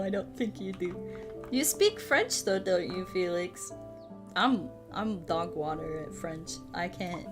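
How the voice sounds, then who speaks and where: A woman in her thirties, a streamer chatting casually while she plays a puzzle game, playful and quick to poke fun at herself. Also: mid-range voice. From United States. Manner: playful, self-mocking, laughs often, tries out broken Spanish and French.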